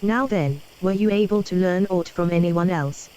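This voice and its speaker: An actress playing a gentle, frail young noblewoman in a video game, with formal, measured, polite phrasing. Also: fairly low pitch, lo-fi sound, a little hiss or hum, natural voice. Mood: grateful. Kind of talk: scripted video game dialogue. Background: game music.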